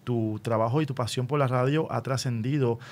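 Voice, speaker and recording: A man's voice: medium pitch, fairly quick, darkish tone. Man hosting an interview. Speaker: radio interviewer, host. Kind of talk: conversational radio interview.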